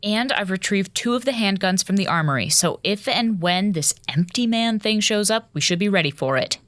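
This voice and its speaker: A woman playing a level-headed space station commander in an audio drama, speaking in a firm, brisk, no-nonsense way. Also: medium pitch, expressive, fairly bright tone, no room sound, close to an everyday voice. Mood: businesslike.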